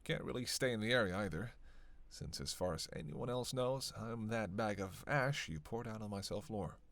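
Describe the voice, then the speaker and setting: A man's voice: on the low side, fairly bright tone. A man playing a witty, bloodthirsty vampire in a teasing roleplay monologue, sardonic and conversational. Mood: wry, weary. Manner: sardonic, playful, conversational with sighs and pauses. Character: teasing, witty, bloodthirsty male vampire, centuries-worn cynic. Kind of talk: flirtatious dark roleplay monologue to one listener.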